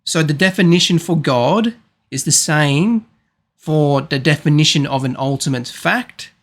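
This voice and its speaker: A man's voice: fairly high pitch, lively intonation, measured pace. A man arguing on a voice call, insisting on his points, repeating himself and stumbling over some words. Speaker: debater. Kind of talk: casual online debate.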